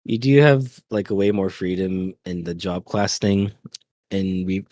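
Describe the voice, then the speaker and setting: A man's voice: deep voice, fairly even intonation, a little slow, a little mellow, no echo. A man chatting informally as a podcast co-host about games, griping in an offhand, conversational way. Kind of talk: casual chat about video games.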